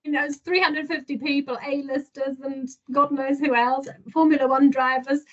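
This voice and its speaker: Woman, podcast guest, animated storytelling, bright lilting voice, dull lo-fi audio. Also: high voice, moderate pace. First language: not French. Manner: animated storyteller, laughs often. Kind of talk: casual interview.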